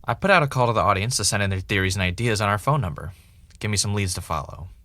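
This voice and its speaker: A man's voice: on the low side, expressive, fast, sharp tone, a little hiss or hum. A man playing an earnest, dogged podcaster investigating a mystery in a naturalistic audio drama, with a wry, self-dramatizing streak. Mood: frustrated, anxious. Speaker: podcast host in a scripted drama.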